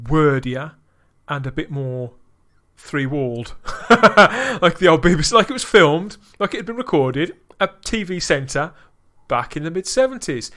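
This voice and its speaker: A man hosting a casual podcast chat. His voice sits a touch higher than most men's. He talks things through in long, reflective stretches, weighing ideas as he goes. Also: a bit bright. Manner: thoughtful, thinking aloud in long musing sentences. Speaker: podcast host.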